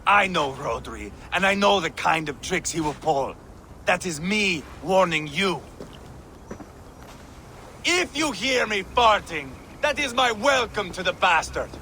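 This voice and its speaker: An actor playing a proud, hot-tempered Viking warrior lord in a video game, with a scornful, crude, swaggering manner. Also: male voice, high voice, sing-song, a little slow, pushed and bright, a little hiss or hum, heavily acted. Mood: angry, defiant.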